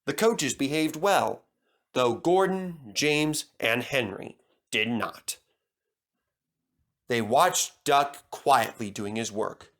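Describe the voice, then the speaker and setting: A man's voice: mid-range voice, slow, a bit bright. A man narrating a scripted children's story as a storyteller.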